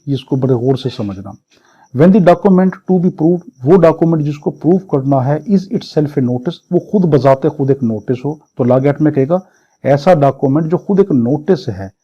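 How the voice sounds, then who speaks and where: Dark, husky-voiced Pakistani man lecturing. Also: on the high side, expressive, moderate pace. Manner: didactic, repeats and rephrases point by point. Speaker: law lecturer. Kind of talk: exam-prep lecture, reading English statute phrases and explaining each. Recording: dull, muffled lo-fi audio.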